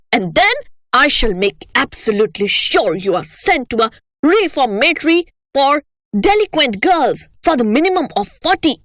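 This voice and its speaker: Tyrannical giant headmistress, loud and scornful, swooping high and wild, read by a woman. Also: no room sound. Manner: shouting, contemptuous.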